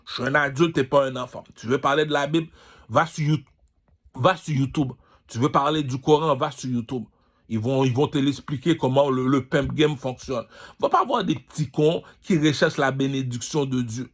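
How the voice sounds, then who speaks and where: A man delivering an informal rant, boastful and slangy, repeating himself and firing off emphatic rhetorical questions. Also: on the high side. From Canada, immigrated at age five. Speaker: singer and vlogger.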